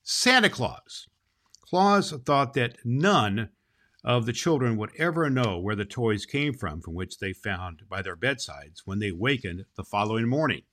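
A man's voice: mid-range voice, lively intonation, measured pace, fairly bright tone. A man playing a jolly, kindly old Santa Claus hosting a children's holiday show, folksy and emphatic. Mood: storytelling. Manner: folksy, emphatic, repeats phrases. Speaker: host.

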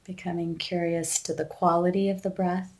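A woman leading a guided breathing meditation, giving gentle instructions with long pauses. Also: lowish voice, level tone, poor audio.

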